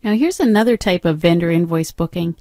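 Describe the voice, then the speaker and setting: A woman narrating an instructional software demo as a trainer, explaining step by step in an instructive way. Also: fairly low pitch, fairly quick, no room sound, studio quality.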